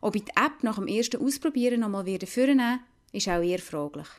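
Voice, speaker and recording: A woman's voice: mid-range voice, expressive, quick pace. A woman with a Lucerne Swiss German accent, narrating a scripted radio report as a reporter.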